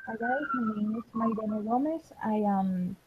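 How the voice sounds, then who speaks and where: A woman's voice: fairly high pitch, measured pace. Young woman on a tinny line. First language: Spanish. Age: 20s. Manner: hesitant non-native English. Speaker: medical student participant. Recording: tinny phone-like call with faint noise.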